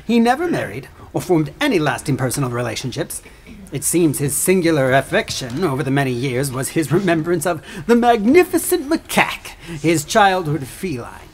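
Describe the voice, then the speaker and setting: An actor playing a sarcastic, pompous prosecuting attorney in a courtroom audio drama, with oratorical, scornful delivery. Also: male voice, on the high side, very animated, moderate pace. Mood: mocking. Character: sneering male prosecuting attorney, theatrical and scornful. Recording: clearly noisy track with clicks.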